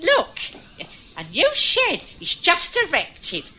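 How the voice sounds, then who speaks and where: Chirpy housewife, swooping pitch. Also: female voice, huge pitch swings, takes it slowly, bright, forceful tone, slight background noise, exaggerated voice. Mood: cheerful, chatty. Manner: chirpy, homely, eager. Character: cheerful chatty working-class housewife.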